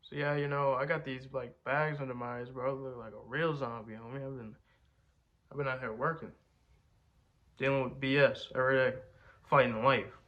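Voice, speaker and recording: A man's voice: medium pitch, steady tone, moderate pace, dull audio. A man with a congested voice, talking to camera in a laid-back, slangy, rambling way. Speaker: rapper and video host. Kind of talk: casual talk to camera.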